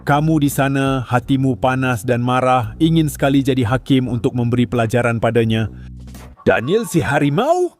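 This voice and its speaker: Seorang pria membawakan narasi komedi dengan nada sarkastis dan jengkel, yang sesekali berubah menjadi gaya mendayu seperti bernyanyi. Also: male voice, mid-range voice, lively intonation, normal speed, faint music behind. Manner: sarcastic and exasperated, mocking tone. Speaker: commentary narrator. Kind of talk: comedic commentary voice-over.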